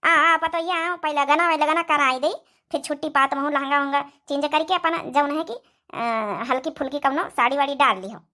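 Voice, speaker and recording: A woman's voice: sits high, fairly even intonation, brisk, bright, forceful tone. A woman voicing an eager older village mother-in-law in a cartoon comedy, chatty and fussing, with an Awadhi accent. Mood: excited, welcoming. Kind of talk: Hindi-Awadhi cartoon family comedy dialogue.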